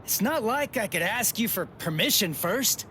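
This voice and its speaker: Cocky teenage hero, lively pitch. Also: male voice, moderate pace, a little hiss or hum. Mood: flippant, annoyed. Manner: casual, sarcastic, blunt. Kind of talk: English video game voice acting.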